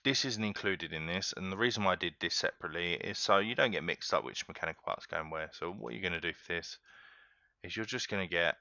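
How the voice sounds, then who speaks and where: A deep-voiced man talks viewers through a game step by step, relaxed and chatty. His voice is bright and smooth, recorded dry on a slightly dull home mic. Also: fast talker, dry recording. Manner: relaxed, chatty, keeps addressing viewers as guys. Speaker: gaming tutorial host. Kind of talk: casual step-by-step game tutorial.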